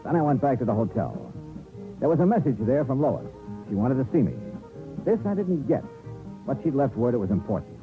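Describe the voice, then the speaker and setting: Actor as wry radio detective on band-limited vintage recording. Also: male voice, mid-range voice, sing-song, fairly quick, long pauses, close to an everyday voice. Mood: tense narrating. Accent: American. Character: wry, probing male insurance investigator. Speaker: lead actor and first-person narrator. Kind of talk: old-time detective radio drama acting. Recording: vintage narrow-band radio, music behind.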